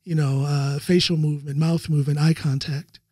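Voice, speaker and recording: A man's voice: on the high side, little pitch movement, normal speed, a little mellow, dry recording, clean studio sound. A man in his forties hosting a podcast, talkative and affirming, thinking aloud as he responds to his guest. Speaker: podcast host. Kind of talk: conversational interview. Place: home studio room, indoors. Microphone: large studio mic with headphones.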